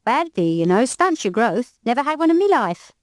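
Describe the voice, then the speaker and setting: A woman's voice: fairly high pitch, big pitch swings, fairly quick, no echo, clean studio sound, pitched above the performer's own voice. Woman playing a blunt, nosy ticket collector. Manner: blunt, colloquial. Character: tall gruff male ticket collector, nosy.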